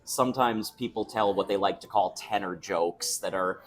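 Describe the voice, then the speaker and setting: A young man with a somewhat low speaking voice chats about his work as an opera singer. He talks in a relaxed, thoughtful way, restarting sentences and laughing now and then. He is being interviewed at a restaurant. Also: moderate pace, slight background noise. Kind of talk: casual interview chat.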